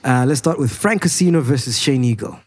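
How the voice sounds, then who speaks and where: Husky, lively young man, teasing host. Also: mid-range voice, a little fast. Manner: teasing, laughs often, says "bro" and "yeah" a lot. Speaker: podcast host. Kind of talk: casual podcast chat.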